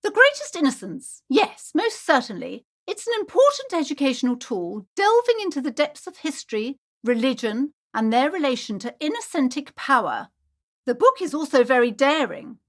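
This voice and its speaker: A fussy, haughty woman who keeps a bookshop in a video game. Her voice is high and swings dramatically up and down. She speaks with formal, theatrical politeness and an anxious, superstitious edge. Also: fairly bright tone, exaggerated voice. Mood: prim, condescending. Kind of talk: acted video game dialogue.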